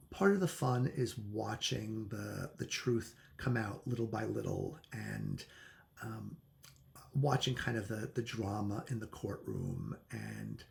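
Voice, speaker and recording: Animated, chatty book reviewer with creaky voice. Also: mid-range voice. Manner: chatty, opinionated, thinking aloud with hesitant phrasing. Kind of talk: casual book review monologue.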